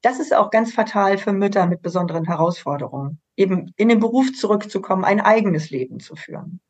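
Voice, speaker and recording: A middle-aged woman from a parents' association, speaking in a measured way with frequent hesitations in a panel discussion. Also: medium pitch, slightly rough, dull audio. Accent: German.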